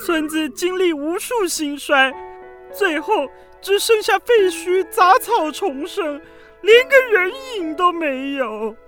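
High-pitched, lamenting frog prince. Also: female voice, expressive, takes it slowly, music in the background, full character voice. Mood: bewildered, reflective. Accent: Taiwanese Mandarin. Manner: dramatic, lamenting, hesitant. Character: lonely frog prince, self-doubting former flood villain. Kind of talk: children's radio drama acting.

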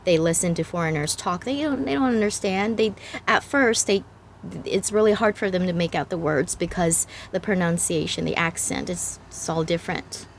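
Chatty Taiwanese-raised woman, lowish voice. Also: moderate pace, vocal fry, slight background noise. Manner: explains patiently, often repeats and restarts words. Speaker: podcast host and language exchange partner. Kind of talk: casual conversational chat.

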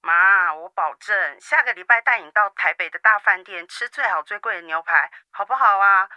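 A woman's voice: mid-range voice, moderate pace, sharp tone, clear, resonant tone, dry recording. A woman acting a busy married daughter on the phone in a radio drama, coaxing her mother and making excuses. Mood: wheedling. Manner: wheedling and excuse-making, suspicious of others. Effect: phone call.

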